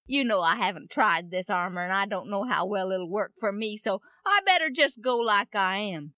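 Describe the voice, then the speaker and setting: A woman's voice: medium pitch, animated, moderate pace, bright, forceful tone, no echo, clean studio sound. A woman voicing an earnest, brave young shepherd in a children's radio Bible story, insisting on doing what is right.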